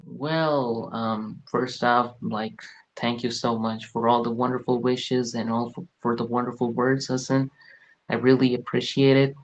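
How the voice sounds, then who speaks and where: A man's voice: medium pitch, flat delivery, unhurried, dull audio. Earnest man in his late twenties. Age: late 20s. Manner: earnest and searching, circling back with fillers. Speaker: guest. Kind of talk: reflective personal talk.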